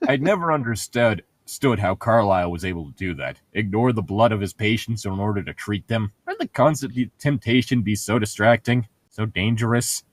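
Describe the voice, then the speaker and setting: A man's voice: fairly low pitch, lively intonation, moderate pace, hardly any pauses, no room sound. Sarcastic man reading aloud, close dry sound. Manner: sarcastic, irreverent, crude joking. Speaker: podcast host reading a novel aloud. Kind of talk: casual group chat with mocking read-aloud.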